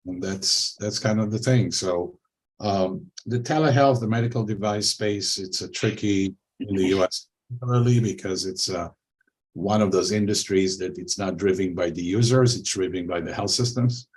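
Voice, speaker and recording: Deep husky guy from israel, chatting on a video call, lots of ums. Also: moderate pace, low-quality recording. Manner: relaxed, conversational, many fillers. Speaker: panel guest, angel investor. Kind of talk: panel discussion over video call.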